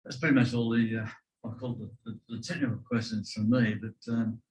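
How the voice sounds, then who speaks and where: Soft-spoken low Australian man, flat delivery. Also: fairly quick, rough recording. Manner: soft-spoken, hesitant with many "uh" and "um". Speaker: audience questioner. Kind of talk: asking technical questions.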